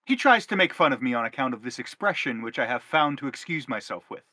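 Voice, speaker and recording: A man's voice: mid-range voice, expressive, quick pace, bright, forceful tone, no echo. A man playing an earnest, self-justifying father in a stage drama, speaking in an emphatic, declamatory way. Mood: defensive. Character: earnest, self-justifying father pleading his family's case. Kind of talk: theatrical stage play acting.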